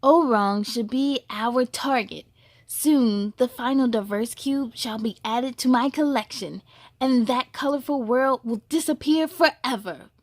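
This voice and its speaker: A woman voicing an evil, scheming male storybook wizard in a slow, gloating, deliberate manner. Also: a little high, dry recording. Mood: menacing, scheming.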